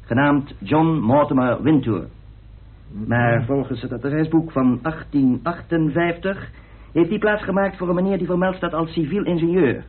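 An actor playing a methodical, sceptical researcher with a deliberate, exact manner in a radio drama. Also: male voice, fairly high pitch, breathy edge. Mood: reporting. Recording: muffled, tinny old radio recording.

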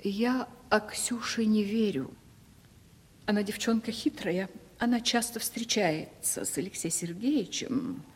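A woman's voice: mid-range voice, a little slow, slightly rough. An actress playing a vain, coquettish ageing lady of a country estate in a Russian radio comedy, with a commanding, condescending theatrical manner. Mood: imperious, confiding. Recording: reverberant studio radio play recording.

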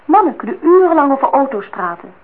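A woman's voice: high voice, big pitch swings, quick pace, phone-quality sound. An actress playing a forthright, teasing young woman in a naturalistic radio drama, on a noisy old recording. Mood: teasing, challenging. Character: cool, teasing, independent young woman visitor.

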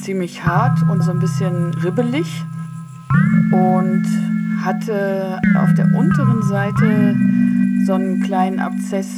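Slow-speaking woman over loud music. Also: medium pitch, steady tone.

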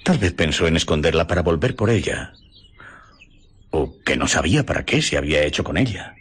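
Troubled spanish doctor in radio drama, low husky voice, swings up and down, thoughtful. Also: male voice, expressive, fairly quick, full character voice. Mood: pensive, concerned. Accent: Spain. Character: thoughtful, troubled gentleman doctor reasoning out a crime.

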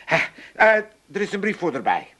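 High, sharp-voiced cantankerous old father. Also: huge pitch swings, a little fast, exaggerated voice. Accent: Amsterdam. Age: older. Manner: blunt, mocking, teasing. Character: cantankerous old Amsterdam father, cheeky and heartless. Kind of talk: radio comedy acting.

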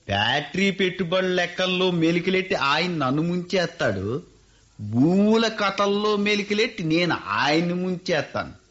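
A man's voice: sits high, expressive, normal speed, fairly bright tone. An actor playing a shrewd, boastful rural landlord who mocks his visitors and orders his servant around. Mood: mocking. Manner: mocking, boastful. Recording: dull lo-fi radio recording.

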